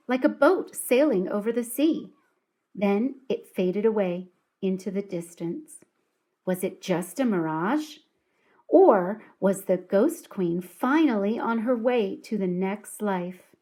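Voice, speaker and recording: A woman reading a children's story aloud in an expressive, deliberate and dramatic storytelling style. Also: mid-range voice.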